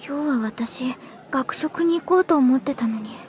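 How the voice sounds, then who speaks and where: Very high, flustered schoolgirl. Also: unhurried, a little mellow. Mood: glum. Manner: stammering, panicky, protesting. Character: timid, flustered, put-upon high school girl. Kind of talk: anime audio drama acting.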